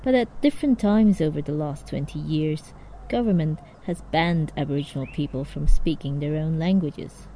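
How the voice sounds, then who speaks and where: A woman whose first language is Indonesian narrates a news feature in a calm, measured way. Her voice is lowish and mellow. A faint hiss sits under it. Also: poor audio. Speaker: reporter. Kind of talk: scripted narration.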